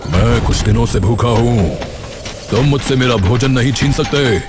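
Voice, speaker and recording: A man voicing a hungry magical talking wolf, menacing yet capable of pity, in an animated moral story, speaking in a commanding, deliberate way. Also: medium pitch, background noise, natural voice. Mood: menacing, demanding.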